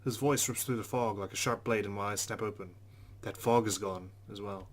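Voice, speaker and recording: A man's voice: fairly low pitch, steady tone, a little fast. A man hosting a let's play, narrating a visual novel aloud and adding his own asides.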